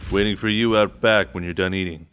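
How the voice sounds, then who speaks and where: A man's voice: low-pitched, fairly even intonation, a little fast, background noise, close to an everyday voice. A man playing a laconic, protective rifleman and trainer in an audio drama. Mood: matter-of-fact.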